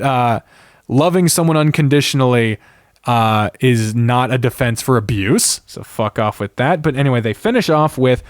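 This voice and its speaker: A man's voice: medium pitch, no room sound. Exasperated, sweary man in a dry recording. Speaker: podcast host. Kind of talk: casual opinionated rant with read-out comments.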